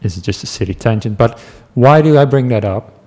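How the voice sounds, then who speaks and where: A man from the Netherlands with a Dutch accent, a meditation teacher giving a relaxed talk to a class, telling stories with asides and small laughs. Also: mid-range voice, brisk. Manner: gentle, chatty storytelling with asides and small laughs.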